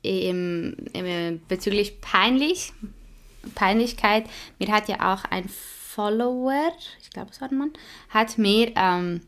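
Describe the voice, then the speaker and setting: A woman's voice: medium pitch, slow, a bit bright. Chatty young woman hosting a podcast. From Switzerland. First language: Swiss German. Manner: chatty, laughs often, many fillers. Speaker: podcast host. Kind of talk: casual podcast chat.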